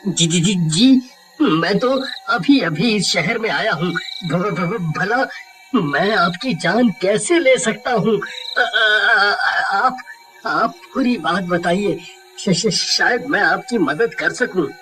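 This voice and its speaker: An actor playing a timid, earnest male engineer in an animated horror story, with a stammering, dramatic manner. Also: sits high, takes it slowly, over music, low-quality recording. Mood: terrified.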